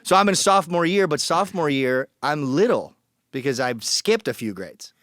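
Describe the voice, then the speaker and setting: A man's voice: on the high side, expressive, moderate pace, fairly bright tone, no echo, studio quality. A man from Colombia telling his story as a podcast guest, blunt and joking, acting out the people he quotes. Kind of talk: casual storytelling.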